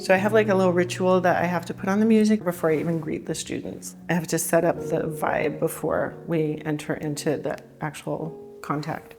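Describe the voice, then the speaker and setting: Relaxed talky teacher lady, kinda low voice, guitar music playing behind. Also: a little fast, hardly any pauses. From California. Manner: chatty, relaxed, upbeat. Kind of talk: casual interview talk. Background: soft guitar music.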